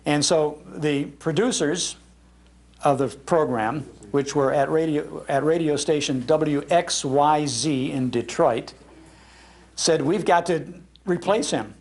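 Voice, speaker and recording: Casting: older American male storyteller, slightly high voice, enthusiastic public speaker with nostalgic delivery. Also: slow pace. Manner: enthusiastic, acts out quoted lines. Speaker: speaker on old-time radio history. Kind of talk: nostalgic storytelling talk to an audience. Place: public library talk.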